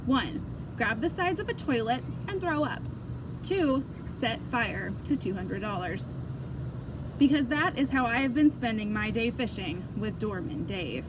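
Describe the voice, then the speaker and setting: A woman with a fairly high voice narrates a children's book in an expressive read-aloud style. Clear background noise runs behind her. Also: a little slow. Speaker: storybook reader.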